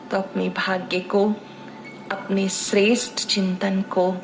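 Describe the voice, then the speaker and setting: Woman, spiritual teacher, guided meditation, slow devotional delivery, faint music bed. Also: medium pitch, vocal fry, low-quality recording. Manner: unhurried, devotional, instructive.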